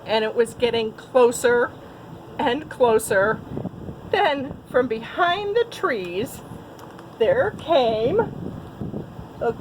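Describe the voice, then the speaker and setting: A woman teacher telling a picture-book story to young children, expressive, with little asides to her listeners. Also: fairly high pitch, noisy background.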